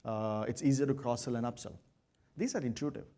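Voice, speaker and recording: A man presenting at a business conference and addressing his audience, pausing often with "uh". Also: medium pitch, warm tone. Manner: measured, many "uh" fillers. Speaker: consulting presenter.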